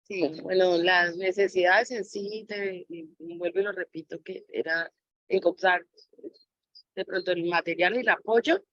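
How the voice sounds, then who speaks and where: A woman's voice: on the low side, steady tone, measured pace, fairly bright tone, no room sound. Woman giving reflective interview answers, slightly dull audio. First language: Spanish. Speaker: interviewee, mother sharing her experience.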